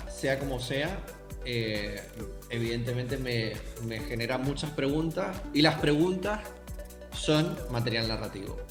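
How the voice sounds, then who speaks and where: A man's voice: medium pitch, reverberant. A young man with a Canarian accent, a writer thoughtfully answering interview questions and speaking directly to the listeners. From Canary Islands, Tenerife. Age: young adult.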